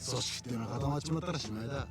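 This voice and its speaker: An actor playing a brash, confident swordsman leader in a period game drama, with rough, commanding, blunt speech. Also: mid-range voice, racing, music in the background, close to an everyday voice. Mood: brash, familiar.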